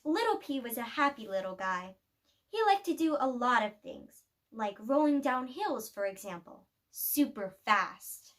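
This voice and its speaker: A woman reading a children's picture book aloud, telling the story expressively with deliberate pauses. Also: fairly high pitch, sing-song, a bit bright. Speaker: storybook reader.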